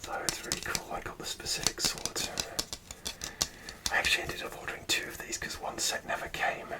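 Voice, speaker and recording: A man telling a story in a soft, rambling ASMR whisper with many pauses and hesitations, recorded close to the microphone. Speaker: ASMR creator.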